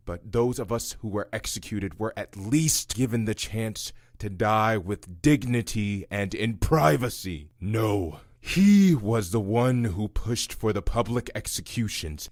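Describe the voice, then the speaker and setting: Low, slow, weary old prisoner played by a man. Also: darkish tone, no room sound, studio quality. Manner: grim, sardonic, measured. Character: bitter, world-weary old male prisoner, resigned. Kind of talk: audio drama acting.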